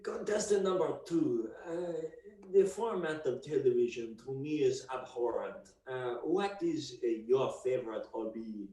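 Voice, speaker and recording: A man's voice: medium pitch, animated, warm tone, far from the mic, lightly acted. A man playing a pompous French bachelor who hosts a dating game, speaking in a put-on French accent in an improv comedy scene. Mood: hammy. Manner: theatrical, drawn-out questions sprinkled with French. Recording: roomy sound, away from the mic.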